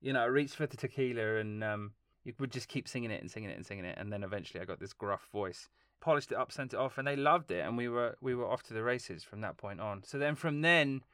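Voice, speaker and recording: A man talking as a film composer guest in a casual interview, telling long, lively stories and laughing along the way. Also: medium pitch, quick pace, clean tone, no echo, studio quality. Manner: animated, rambling storytelling with laughs.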